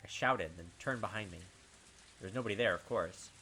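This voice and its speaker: Young male let's player, bright and pushy tone, dramatic game-text reading with excited asides. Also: on the low side, fairly quick. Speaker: let's play gamer. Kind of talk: reading a visual novel aloud with commentary.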